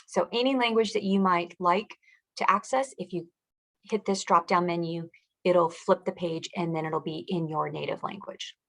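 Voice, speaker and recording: A woman with a slightly low voice gives an informational presentation. She explains things step by step, in the instructional manner of a school district director guiding an audience through web pages. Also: steady tone, moderate pace, vocal fry, a bit bright.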